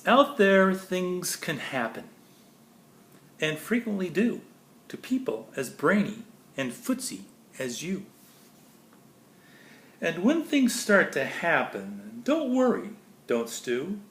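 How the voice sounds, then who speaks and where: A man reading a children's picture book aloud expressively, with pauses between phrases and chatty explanations to listeners. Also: sits high, wildly swooping pitch, a bit bright. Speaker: storybook reader. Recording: echoey room.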